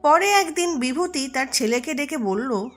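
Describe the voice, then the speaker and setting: High-pitched woman narrator. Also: expressive, a little slow, fairly bright tone, faint music behind. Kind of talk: scripted storybook narration.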